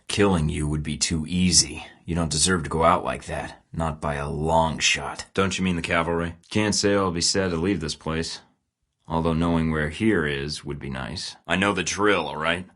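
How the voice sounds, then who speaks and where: A man's voice: extremely low pitch, a bit bright. An actor voicing a hardened male secret agent in a video game, terse, sardonic and threatening. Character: hardened male spy in his thirties, defiant.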